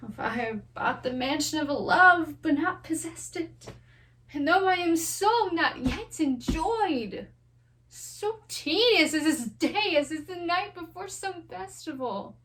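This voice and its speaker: A woman's voice: very high voice, expressive, slow pace, exaggerated voice. A woman playing an impatient, lovestruck young noblewoman, yearning and passionate in a classical verse stage monologue, with dramatic, lingering delivery. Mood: impatient longing. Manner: dramatic, lingering, swelling from hushed to fervent. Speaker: drama student performer. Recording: distant microphone.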